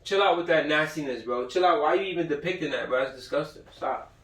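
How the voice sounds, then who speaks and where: A man's voice: fairly high pitch, fast talker, fairly bright tone. A man giving an informal lecture, reading passages aloud and commenting in blunt, profane slang, repeating himself for emphasis. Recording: roomy echo, far from the mic.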